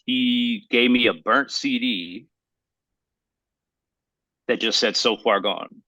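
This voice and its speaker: Bright-voiced man telling rambling stories. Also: medium pitch, slow, no echo, low-quality recording. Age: 30s. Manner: rambling, emphatic storytelling with slangy phrasing. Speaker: podcast co-host. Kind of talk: casual podcast chat about hip hop.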